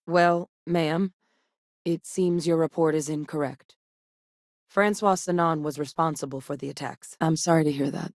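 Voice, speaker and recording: A woman playing a seasoned ex-military scientist in a video game, steady and earnest, with a measured, serious delivery. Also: low voice, no room sound, clean studio sound. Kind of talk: video game character voice lines.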